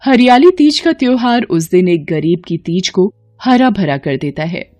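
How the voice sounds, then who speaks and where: A woman's voice: medium pitch, big pitch swings, measured pace, mellow, soft background music. A woman narrating a scripted moral bedtime story as a storyteller.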